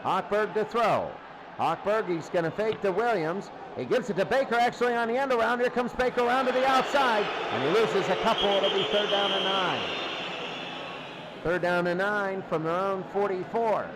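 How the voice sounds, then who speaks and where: High-pitched man calling football, noisy recording. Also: normal speed. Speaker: play-by-play sports commentator. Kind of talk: live football commentary.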